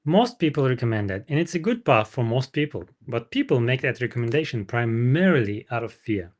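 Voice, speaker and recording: Wise older male mentor, read by a man, storybook dialogue, medium pitch, didactic, frank, emphatic. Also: very animated, a little fast. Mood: frank, reassuring.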